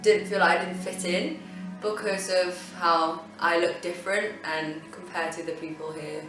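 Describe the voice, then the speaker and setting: A woman's voice: lowish voice, fairly even intonation, moderate pace, over music. An eighteen-year-old woman from Thailand giving a documentary interview, thoughtful and hesitant, often restarting her sentences. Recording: echoey room.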